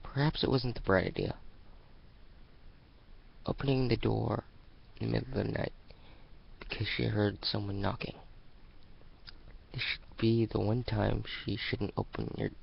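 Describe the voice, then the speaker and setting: A man reading a story aloud as an amateur dramatic narrator, halting and restarting lines as he goes. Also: on the low side, gravelly, husky, dull audio. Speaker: amateur fan fiction reader.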